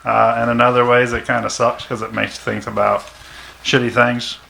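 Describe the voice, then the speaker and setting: A man's voice: medium pitch, level tone, moderate pace, gravelly, bright, forceful tone. A man talking casually and candidly as an interview guest, a little hesitant. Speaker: audio drama performer guest. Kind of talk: casual chat. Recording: noisy room ambience.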